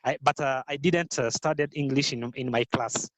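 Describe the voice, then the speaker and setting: A Rwandan man whose first language is Kinyarwanda, answering interview questions in careful, halting English with many fillers and restarts. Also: medium pitch, no room sound. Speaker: guest, business founder. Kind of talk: podcast interview.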